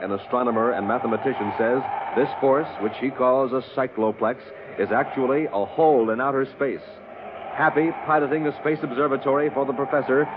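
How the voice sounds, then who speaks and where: A man's voice: mid-range voice, natural voice. A man playing a hearty, emphatic announcer in a 1950s radio serial. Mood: dramatic. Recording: tinny, noisy 1950s radio recording.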